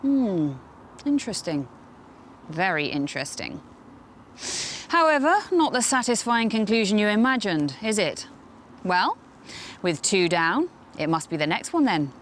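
A woman's voice: medium pitch, sing-song, unhurried, long pauses, slight background noise. An actress in her thirties playing a wry, knowing presenter who speaks scripted lines with arch irony and pointed rhetorical questions. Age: 30s. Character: wry, knowing woman presenter with dark humour. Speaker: on-screen presenter of a safety training film. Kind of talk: scripted dramatic narration to camera. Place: outdoors on a railway platform and track.

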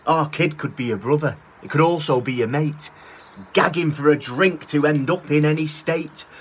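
A man's voice: a little high, moderate pace, a little hiss or hum. Northern English man reciting rhyming verse. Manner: rhythmic rhyming delivery, cheeky and swearing. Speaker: spoken word poet. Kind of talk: spoken word poetry recital. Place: outdoors by a brick wall. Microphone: phone at arm's length.

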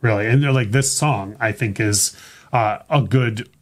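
A man's voice: on the low side, unhurried. A middle-aged man hosting a casual music podcast in a small studio, talking in a loose, rambling way with many fillers and restarts. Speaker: podcast host. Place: small studio room with purple lighting.

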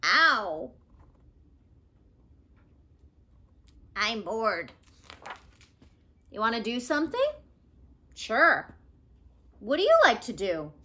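A woman voicing an excitable, indignant little girl from a picture book, with emphatic exclamations. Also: on the high side, slow pace, pauses often, a little hiss or hum, exaggerated voice. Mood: bored, whiny.

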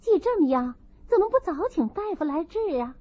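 A woman's voice: very high-pitched, big pitch swings, brisk, muffled recording, voice pushed up. A woman voicing a loyal, earnest young maid, kind but admonishing, in an audiobook. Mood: concerned.

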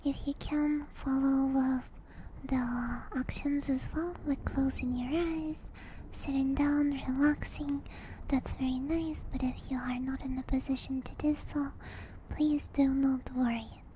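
A woman streaming ASMR in a soft, breathy whisper, gently and soothingly guiding and chatting close to a binaural microphone. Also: sits high, steady tone, measured pace, slight background noise, low-quality recording. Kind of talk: whispered ASMR guided meditation and chat. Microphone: close binaural ear microphone.

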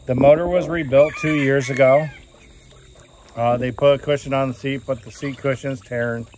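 A man's voice: medium pitch, steady tone, moderate pace, a little mellow, a little hiss or hum. Folksy middle-aged man vlogging outdoors. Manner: rambling, folksy, with fillers like "uh" and "you know". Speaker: homesteading vlogger. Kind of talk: casual walkaround vlog. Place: outdoors on a rural farm lawn. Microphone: phone camera at arm's length.

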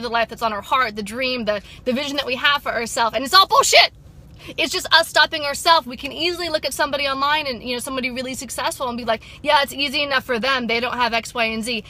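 A woman's voice: high-pitched, pushed and bright. A woman in her thirties, an upbeat sobriety coach chatting with viewers from a parked car into a phone held at arm's length, with many fillers. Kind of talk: casual motivational vlog. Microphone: phone camera at arm's length.

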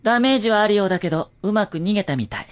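A woman's voice: mid-range voice, expressive, very fast, natural voice. A woman playing a sly, playful teenage boy informant in an anime audio drama, breezy, teasing and knowing. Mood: breezily knowing.

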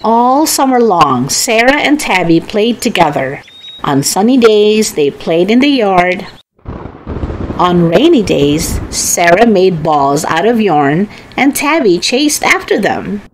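A woman reading a children's storybook aloud, with careful, expressive enunciation. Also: medium pitch, slow, slight background noise, low-quality recording. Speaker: storybook reader. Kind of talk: children's read-aloud narration.

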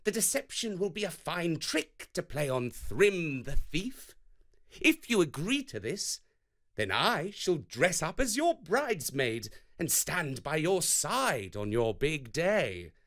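A man's voice: fairly high pitch, sing-song, slow, a bit bright, exaggerated voice. A man voicing a sly trickster god in a children's storybook, coaxing and drawing out his words. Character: sly male trickster god, smooth persuader.

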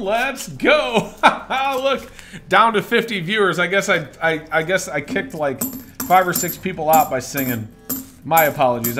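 Hoarse upbeat man hosting a stream. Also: fairly high pitch, fairly bright tone, a little hiss or hum. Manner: upbeat, chatty, greets viewers by name. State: hoarse, scratchy voice. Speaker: livestream host. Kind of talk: casual livestream chat. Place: indoors at a desk.

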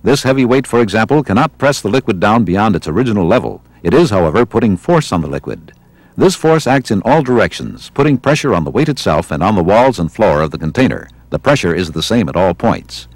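Male American training film narrator, low and slightly husky voice, measured teaching delivery, dry studio sound. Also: big pitch swings, dry recording. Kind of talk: scripted instructional narration. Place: studio voice-over.

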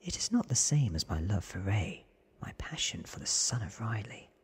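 A man in his thirties reading a story aloud in a soft, breathy whisper, close to the microphone in ASMR style. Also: lowish voice, sing-song. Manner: slow, deliberate, hushed delivery. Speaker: reader on a whisper channel. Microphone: close microphone in front of the mouth.

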